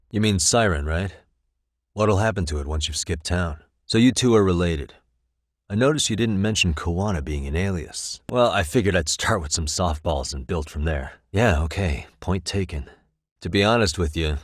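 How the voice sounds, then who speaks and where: An actor playing a composed, determined male detective in a video game, speaking in a measured, probing way with dry wit. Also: low-pitched, no room sound. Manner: measured, probing, dryly wry questioning. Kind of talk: English video game voice acting.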